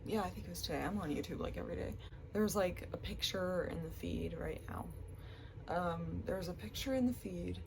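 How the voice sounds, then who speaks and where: A woman's voice: fairly low pitch, brisk, gravelly, noisy background. A young woman with a stuffy, congested voice from a cold, chatting casually to her camera with lots of likes and ums. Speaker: vlogger.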